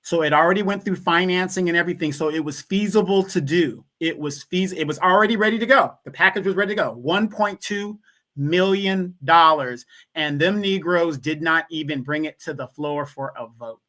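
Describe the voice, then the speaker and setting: A man's voice: fairly high pitch, normal speed, pushed and bright. A man co-hosting a casual livestream, making emphatic points and repeating them to drive them home. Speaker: co-host.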